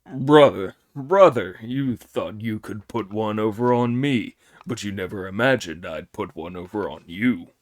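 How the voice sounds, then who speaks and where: A man's voice: lowish voice, moderate pace, hardly any pauses, no room sound, natural voice. A man reading an audiobook, voicing a lazy, sly male pig-spirit who mocks and grumbles. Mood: mocking. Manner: mocking, grumbling.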